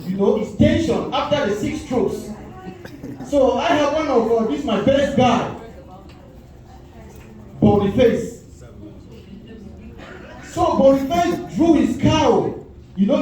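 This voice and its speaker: A man in his forties from Cameroon with a Cameroonian accent, telling stand-up stories and acting out quoted voices. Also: very high-pitched. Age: 40s. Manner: storytelling with lively voiced quotes. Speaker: stand-up comedian. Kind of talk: stand-up comedy set. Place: comedy club stage. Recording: echoey club audio from far off.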